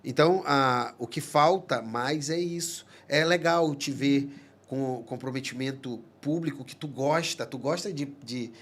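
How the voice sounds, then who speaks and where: A man speaks at a steady middle pitch. He talks earnestly in a relaxed podcast chat, pausing and restarting his phrases as he works through a thought. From Manaus, Amazonas, Brazil. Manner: earnest, halting, many restarts. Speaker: psychologist guest. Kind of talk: casual podcast conversation.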